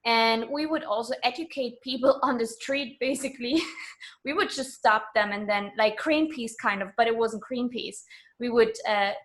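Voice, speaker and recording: Higher-voiced woman from Germany, chatting. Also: steady tone, moderate pace, fairly bright tone. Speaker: interview guest. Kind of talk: casual conversational interview.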